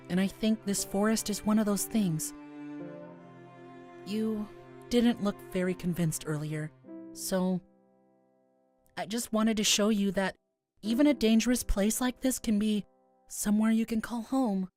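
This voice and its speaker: A woman voicing Fawn's part, a shy, gentle young male forest dweller in a visual novel, soft and earnest. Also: mid-range voice, measured pace, long pauses, faint music behind, lightly acted.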